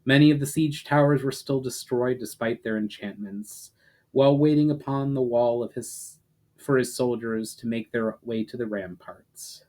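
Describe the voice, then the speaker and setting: A man's voice: mid-range voice, moderate pace, darkish tone. A man reading fantasy fiction aloud as a dramatic narration, hitting phrase openings with emphasis and letting sentence ends fall away. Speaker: writer reading own story aloud.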